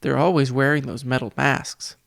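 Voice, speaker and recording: A man's voice: mid-range voice, flat delivery, normal speed, vocal fry, fairly bright tone, no echo, studio quality. A man voicing a worried, determined girl in a children's audiobook, earnest and insistent. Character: worried, determined girl, older orphan sibling, feigning playfulness.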